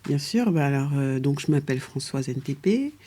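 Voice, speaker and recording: A middle-aged woman who grew up in Paris, talking as a guest in a relaxed interview, often pausing on fillers and restarting her sentences. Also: rumbling low. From France, raised in Paris from age two. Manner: hesitant, many fillers and restarts, laughs lightly. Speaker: guest architect. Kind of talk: casual conversational interview.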